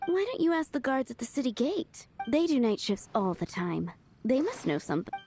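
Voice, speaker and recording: A woman's voice: a little high, sing-song, normal speed, a little mellow, faint music behind. An actress playing a playful young woman who runs a tavern, in a video game. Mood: helpful. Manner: playful, joking and teasing.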